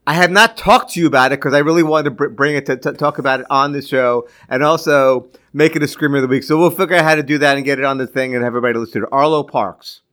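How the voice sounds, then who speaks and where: A man co-hosting a casual podcast chat, talking in quick restarts and laughing often. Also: medium pitch, a bit bright. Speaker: podcast co-host.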